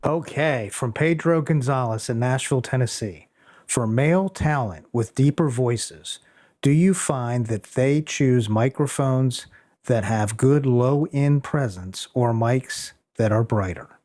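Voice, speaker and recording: Male co-host, mid pitch, curious and practical, reads listener questions aloud. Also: measured pace, a little mellow. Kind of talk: casual tech talk show chat.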